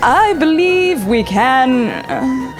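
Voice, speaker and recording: A woman playing a composed, diplomatic prince in a fantasy audio drama, genteel and measured. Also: high-pitched, big pitch swings, music in the background, heavily acted. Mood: polite, smooth. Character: composed, polite prince in disguise, smoothly diplomatic.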